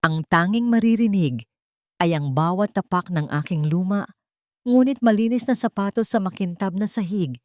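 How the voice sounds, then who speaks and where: A woman narrating a scripted first-person drama in a solemn manner, in short deliberate phrases. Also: on the low side, darkish tone, no echo, clean studio sound.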